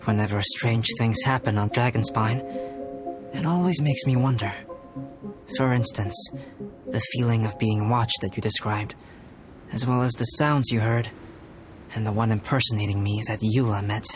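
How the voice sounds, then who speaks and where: Man voicing a calm, formal young alchemist, music behind. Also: on the low side, close to an everyday voice. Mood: pensive, measured. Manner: measured, formal, reflective. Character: calm, scholarly young male synthetic human alchemist. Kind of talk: video game character dialogue.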